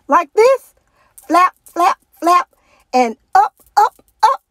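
A woman voicing a kindly bird flight teacher in a storybook, gentle, coaxing and instructive. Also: extremely high pitch, sing-song, slow pace, no echo, clean studio sound, pitched above the performer's own voice, heavily acted. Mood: encouraging.

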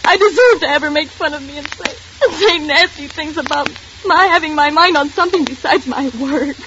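Actress doing high voiced upset teen girl, sulky and dramatic, old crackly radio play. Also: a little fast, lightly acted. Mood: aggrieved, upset. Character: distraught, sulky teenage schoolgirl, self-dramatizing. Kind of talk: 1940s radio drama acting. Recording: noisy, muffled 1940s radio recording.

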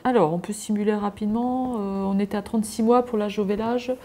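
A woman's voice: mid-range voice, steady tone, fairly quick, mellow. A woman, a farm adviser explaining audit results methodically at a farmhouse kitchen table, with many fillers.

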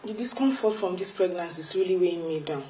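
An actress playing a weary pregnant patient in a TV drama, with a Nigerian accent. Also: female voice, lowish voice, fast, a little hiss or hum, close to an everyday voice. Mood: weary, complaining. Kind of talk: acted TV drama dialogue. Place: small indoor consulting room. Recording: muffled, echoey room.

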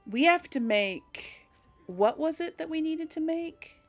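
A woman's voice: high-pitched, expressive, slow, faint music behind. A woman hosting a let's play, thinking aloud in casual, hesitant gameplay commentary. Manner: musing aloud, hesitant deliberation.

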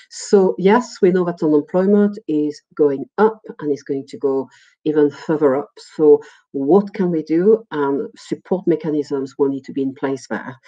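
A middle-aged woman with a French accent presents a webinar as a lecturer in a conversational, halting, digressive way, often repeating words. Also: low-pitched, dark tone. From France. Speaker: university lecturer. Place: small indoor room, video call. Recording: muffled, dull webinar audio.

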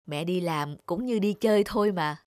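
A woman voicing a gentle, forgiving retired mother who reassures patiently in a cartoon drama. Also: lowish voice, normal speed, no room sound, clean studio sound, close to an everyday voice. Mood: reassuring. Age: older.

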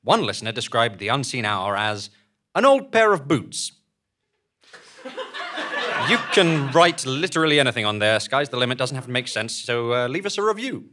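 A man on a theatre stage giving a scripted comic announcement in a dry, deliberate manner. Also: medium pitch, big pitch swings, bright, forceful tone. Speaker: show performer making sponsor appeal. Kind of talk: scripted live comedy announcement. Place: small theatre stage, live show.

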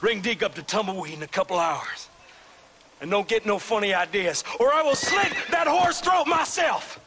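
An actor voicing a cocky, menacing, gleeful outlaw in a video game, with a mocking Western American drawl. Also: male voice, high-pitched, animated, moderate pace, pushed and bright, a little hiss or hum. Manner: drawling, mocking sing-song calls. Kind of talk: video game cutscene acting.

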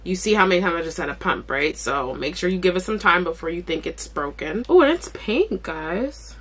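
A young woman with a raspy voice chatting casually through a skincare vlog in her bedroom, talking while she applies products. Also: lowish voice, lively intonation, moderate pace. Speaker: beauty vlogger. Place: bedroom at home.

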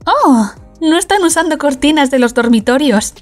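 A woman plays a breezy, bubbly young cartoon woman. Her voice is high and bounces around in pitch over faint music. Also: lively intonation, moderate pace. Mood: cheerful. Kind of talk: fandub comic acting.

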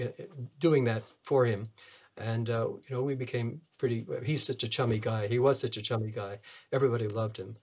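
A man in his seventies from Canada, a retina surgeon reminiscing in an interview, halting and often repeating words as he goes. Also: mid-range voice, lo-fi sound. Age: 70s. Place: small clinic office, video call.

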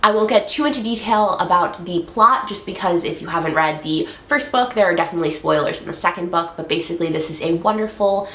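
A woman's voice: lowish voice, fast, hardly any pauses, sharp tone. A young woman in her twenties with a head cold, chatting upbeat about books on her vlog. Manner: chatty, upbeat, frequent fillers. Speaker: book vlogger. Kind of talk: casual book review vlog. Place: bedroom, indoors. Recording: echoey room.

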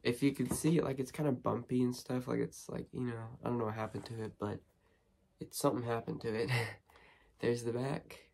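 A teenage boy talks loosely and unscripted, full of ums and false starts. His voice is hoarse from a cold and has a gravelly, creaky edge. A faint noise sits under the recording, made in a small bedroom close to the camera. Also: medium pitch, fairly even intonation, normal speed, a little mellow. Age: teens. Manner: rambling, lots of ums and restarts. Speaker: horror movie vlogger. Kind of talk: casual unboxing chat. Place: small bedroom studio with shelves.

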